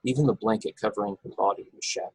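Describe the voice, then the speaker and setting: A man's voice: lowish voice, racing, darkish tone, husky, no echo, low-quality recording. A man reading screenplay action and scene headings as the narrator of an informal table read. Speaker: narrator reading stage directions.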